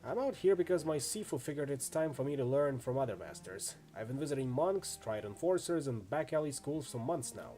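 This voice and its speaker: A man's voice: medium pitch, big pitch swings, fairly quick, few pauses, dark tone, close to an everyday voice. A man voicing a friendly, easygoing orc swordsman in a video game, chatty and full of laid-back street slang. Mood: matter-of-fact, casual. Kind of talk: acted reading of role-playing game dialogue.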